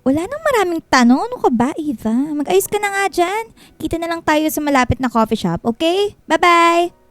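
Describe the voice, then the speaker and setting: A woman's voice: extremely high pitch, big pitch swings, normal speed, no echo. A woman playing a bossy, blunt, gossipy young friend with a Filipino accent in a radio drama. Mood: breezy, bossy.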